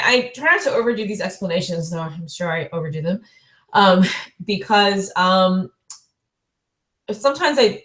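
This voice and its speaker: A woman's voice: mid-range voice, a bit bright, roomy sound. A woman in her forties giving a recorded online lecture, chatty and full of fillers, correcting herself and laughing lightly between points. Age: 40s.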